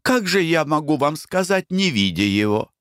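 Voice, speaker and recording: A man voicing a proud young woman who speaks high and emphatically. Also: sing-song, moderate pace, a bit bright, smooth, no room sound, studio quality. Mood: coy.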